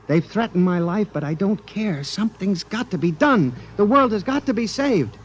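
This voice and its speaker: Paranoid frantic man, old radio drama, highish agitated voice, muffled old recording. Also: lively intonation, moderate pace, slight background noise. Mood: urgent, pleading. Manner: agitated, pleading, intense. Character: frantic, paranoid accused man convinced machines are alive. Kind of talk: 1950s radio drama acting. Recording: band-limited 1950s radio recording, music behind.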